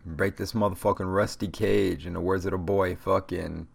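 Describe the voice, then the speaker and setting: A young man with a very low, gravelly voice talks through a stuffy nose from a cold. He rambles along in a casual solo podcast rant, swearing constantly as he gives motivational talk. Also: steady tone, moderate pace. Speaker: podcast host.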